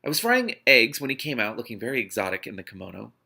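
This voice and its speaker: A man's voice: medium pitch, big pitch swings, quick pace, gravelly, pushed and bright, slightly rough. A man reading a novel aloud expressively, breaking off into spontaneous amused and exasperated asides as host. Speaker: host of an online read-aloud series.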